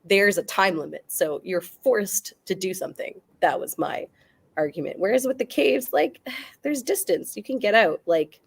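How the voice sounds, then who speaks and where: A woman's voice: mid-range voice, expressive, normal speed, gravelly, husky. A woman arguing her point emphatically in a casual debate, as a guest on a talk show.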